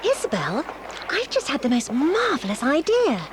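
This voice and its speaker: A woman playing a warm-hearted, bossy schoolgirl with an English accent in a children's audio drama. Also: high voice, wildly swooping pitch, moderate pace, background noise. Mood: excited, earnest. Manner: earnest, plucky, jolly schoolgirl talk. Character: warm-hearted, bossy, breezy boarding-school girl, a twin.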